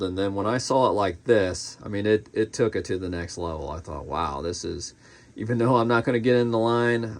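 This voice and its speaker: Low-voiced man, casual collector review. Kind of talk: casual unscripted product review.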